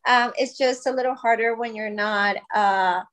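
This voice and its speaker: A woman in her thirties from Colombia talks as a podcast guest, with a voice pitched a touch high. She speaks in a chatty, grateful way, leaning on fillers as she tells her story. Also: steady tone, moderate pace, fairly bright tone. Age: 30s. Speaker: guest, wellness brand founder. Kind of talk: podcast interview, personal story.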